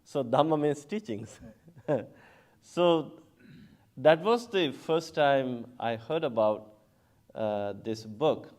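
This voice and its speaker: A man who is a Buddhist monk from Bangladesh, giving an unhurried symposium talk with frequent chuckles and hesitations. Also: mid-range voice, lively intonation, darkish tone. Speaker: Buddhist monk, panel speaker.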